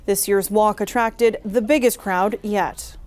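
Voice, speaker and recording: A woman reading the news as anchor in a steady, formal way. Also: mid-range voice, slow pace, slight background noise.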